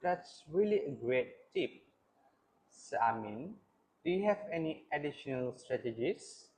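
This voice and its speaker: A man's voice: fairly high pitch, lively intonation, measured pace, a little mellow, slight background noise. Polite young male moderator. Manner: polite, questioning. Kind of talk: student panel discussion.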